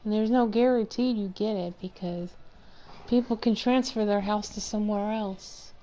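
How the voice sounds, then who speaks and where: A woman's voice: medium pitch, steady tone, moderate pace, warm tone. A woman chatting casually as she streams a video game. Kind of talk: casual chat while playing a game. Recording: faint game music behind. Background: game music.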